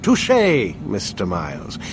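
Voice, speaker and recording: A smug male scientist acted by a man lectures with a lively, swooping delivery and a fairly middle-low pitch. He sounds sardonic and pedantic, a video game character heard over clear background noise. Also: moderate pace, lightly acted. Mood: condescending, wry. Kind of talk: scripted video game drama.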